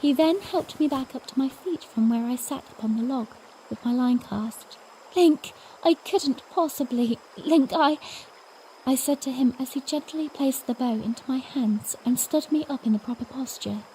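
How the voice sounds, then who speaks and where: A woman playing a spirited, tender young princess who recounts her adventure in expressive storybook phrasing for an audiodrama. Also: high-pitched, moderate pace, a little hiss or hum, low-quality recording. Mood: sheepish. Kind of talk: first-person audiodrama narration with acted dialogue.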